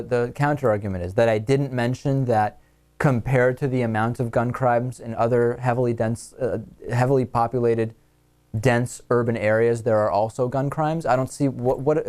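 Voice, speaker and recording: A male talk show host with an American accent. He speaks at a middle pitch in a commentary style, moving between listener mail and his own remarks. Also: a little mellow.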